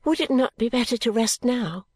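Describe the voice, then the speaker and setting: Actress as gentle young woman, classic novel dialogue: sincere, pleading, mildly reproving, very dry recording. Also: a little high, animated, normal speed, slightly rough, dry recording. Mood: concerned.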